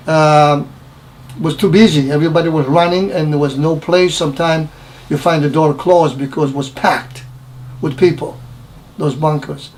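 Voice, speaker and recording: Older Romanian man with halting English. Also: a little high, a little mellow, poor audio. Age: 70s. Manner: halting, repeats phrases, broken English grammar. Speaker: interviewee. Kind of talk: personal wartime recollection interview.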